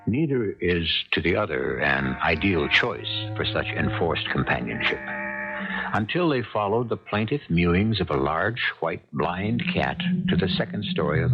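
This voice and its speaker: A man hosting and narrating a 1970s radio drama in measured, portentous, dramatic phrases. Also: low-pitched, very animated, creaky. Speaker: host and narrator. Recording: dull, lo-fi 1970s radio recording. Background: music.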